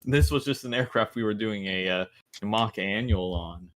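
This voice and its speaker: A man's voice: fairly low pitch, normal speed, a bit bright. A man co-hosting a casual podcast, telling a work story in a dry, sarcastic way. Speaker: podcast co-host. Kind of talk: casual chat.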